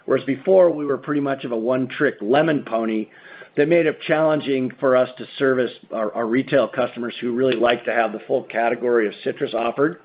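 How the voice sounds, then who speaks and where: Male company executive, American accent, average pitch, methodical explainer, thin telephone sound. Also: brisk. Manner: methodical, point-by-point business explanation. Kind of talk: earnings call answer, explanatory. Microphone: conference call phone line. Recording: muffled narrow phone-line sound.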